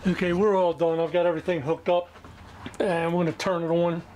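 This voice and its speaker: A man with a slightly high-pitched voice talks his way through a repair in a casual, unscripted way. His delivery is halting, plainspoken and folksy. The homemade recording carries some noise behind him. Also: level tone, darkish tone. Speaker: hobbyist radio restorer hosting a repair video. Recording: clearly noisy amateur recording.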